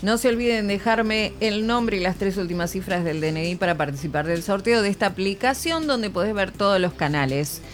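A woman's voice: mid-range voice, fairly quick, hardly any pauses, slight background noise. An Argentine woman hosting a radio call-in show, greeting callers by name in friendly casual chat.

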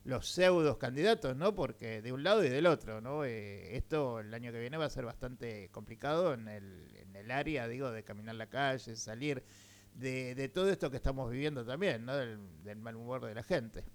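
An Argentine man hosts a radio interview in a smooth, resonant voice. He asks his questions in long, meandering stretches, full of hesitations and tag questions. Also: medium pitch, animated, moderate pace. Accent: Argentine Spanish. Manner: rambling questions with many fillers and tag questions. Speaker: radio host.

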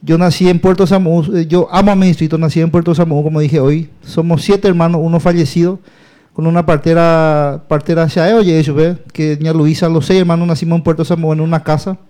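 A man's voice: fairly high pitch, flat delivery, fairly quick, mellow. A man, a local politician talking about his campaign in a casual, rambling, emphatic way that repeats itself.

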